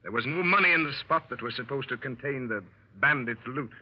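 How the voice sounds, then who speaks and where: An actor playing a seasoned American adventurer who narrates his story, brisk and commanding, with a husky voice, in a 1930s radio play. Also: mid-range voice, natural voice. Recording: muffled 1930s radio recording.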